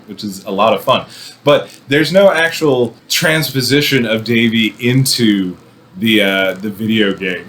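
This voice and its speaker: A man's voice: on the low side, fairly bright tone. Wry man rambling about films, noisy recording. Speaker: film commentator. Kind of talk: casual movie review talk.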